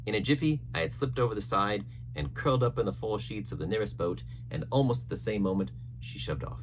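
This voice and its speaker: A man reading an adventure novel aloud as scripted, expressive storybook narration. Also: on the low side, brisk, vocal fry, a little hiss or hum. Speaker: audiobook narrator.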